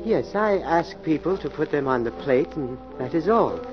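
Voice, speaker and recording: A man's voice: on the high side, animated, normal speed, lightly acted. A man playing a nervous, servile nightclub waiter in 1940s radio style, hesitant, stammering and deferential. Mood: anxious. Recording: muffled old radio recording, music behind.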